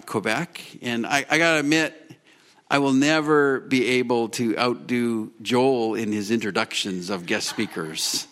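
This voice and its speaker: A man hosts a church gathering and introduces the next speakers. His voice sits at an ordinary pitch, and he talks casually and rambles, dropping in laughing asides. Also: a bit bright. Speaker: church host introducing guests.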